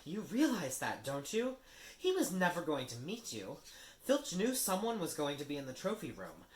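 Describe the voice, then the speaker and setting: A man's voice: a little high, huge pitch swings, normal speed, voice pushed up. A man voicing a clever, bossy schoolgirl who scolds and snaps impatiently. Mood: scolding. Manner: sharp, scolding. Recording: roomy with faint hiss.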